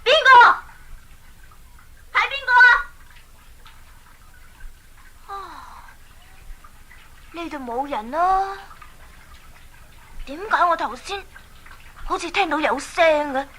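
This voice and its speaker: High-pitched plucky boy adventurer. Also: expressive, slow pace, long pauses, pushed and bright, a little hiss or hum, full character voice. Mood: startled, puzzled. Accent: Hong Kong Cantonese. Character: earnest, plucky boy adventurer in a fairy tale. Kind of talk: 1980s Cantonese children's radio drama acting.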